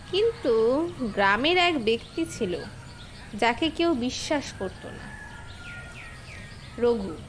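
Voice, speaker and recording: A woman's voice: high voice, a bit bright, slight background noise. A woman giving scripted narration for a cartoon fable as the storyteller.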